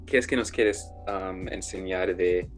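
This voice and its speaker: Low-voiced young man hosting a language video. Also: measured pace, faint music behind. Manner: playful, guesses aloud, repeats words. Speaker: host. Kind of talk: language-learning video chat. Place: room at home.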